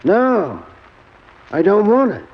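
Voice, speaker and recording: Baggage clerk, high pitch, slow, curt and hesitant, vintage radio drama, muffled. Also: male voice, wildly swooping pitch, gravelly, slightly rough, exaggerated voice. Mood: curt refusal. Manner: halting, curt. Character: reticent baggage clerk, halting, businesslike. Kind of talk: 1940s radio drama acting. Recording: muffled old radio recording with background noise.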